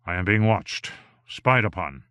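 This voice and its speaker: A man voicing a paranoid, deranged gentleman magician in a storybook, intense and defiant. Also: deep voice, lively intonation, unhurried, smooth, dry recording, full character voice.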